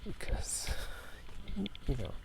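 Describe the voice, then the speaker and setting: A man in a hushed, half-whispered ASMR chat, rambling hesitantly as he walks outside at night. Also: slow pace. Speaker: gaming and ASMR video creator. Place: outdoors at night, walking. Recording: noisy night outdoors, frogs croaking. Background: frogs croaking, night ambience.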